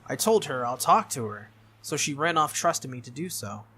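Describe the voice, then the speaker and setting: Bright, animated visual novel reader. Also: mid-range voice, moderate pace, husky. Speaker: gaming video host reading a visual novel aloud. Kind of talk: expressive narration of game text with asides.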